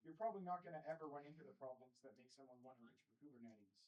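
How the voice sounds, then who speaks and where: A man's voice: mid-range voice, very fast, lo-fi sound. A man in the audience asking the speaker a question, hesitant, with many restarts. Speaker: audience member.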